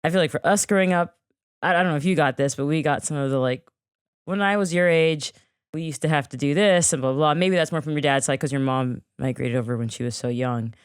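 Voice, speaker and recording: A woman co-hosting a casual podcast with a strikingly deep voice. She asks questions in a chatty, rambling, encouraging manner. The sound is dry and close, with no room around it. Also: fast talker, smooth, no echo. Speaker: podcast co-host. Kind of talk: casual interview chat.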